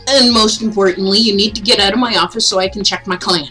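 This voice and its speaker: A woman's voice: medium pitch, fairly even intonation, fast talker, bright, forceful tone, soft background music, close to an everyday voice. A woman voicing a wisecracking, slacker male mentor from a story game in a teasing, mock-grand way. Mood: mock-grand, playful. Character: breezy, wisecracking male school counselor, playful slacker mentor.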